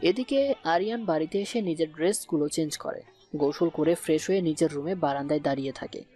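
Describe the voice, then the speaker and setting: Female narrator for romantic audio fiction, soft dark tone, fairly low, light music bed. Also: brisk. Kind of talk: scripted romantic story narration. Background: faint background music.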